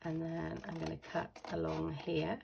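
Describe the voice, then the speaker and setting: A British woman with a croaky voice hosting a casual craft tutorial, chatty and full of ums as she explains each step. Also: deep voice, monotone, moderate pace, background noise. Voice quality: croaky, with a lingering cough. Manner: chatty, with frequent ums and self-corrections.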